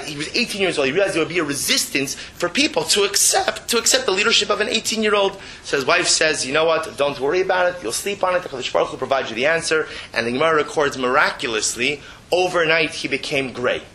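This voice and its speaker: A man's voice: a little high, sharp tone, slight background noise, low-quality recording. A man teaching as a rabbi, reading and explaining religious text emphatically, repeating phrases and posing rhetorical questions. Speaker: rabbi giving a Torah class.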